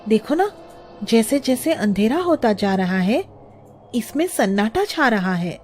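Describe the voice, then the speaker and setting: A woman's voice: fairly high pitch, very animated, unhurried, a little mellow, soft background music. A woman voicing an anxious, scolding wife and mother in a children's cartoon story, fretful and nagging. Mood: worried.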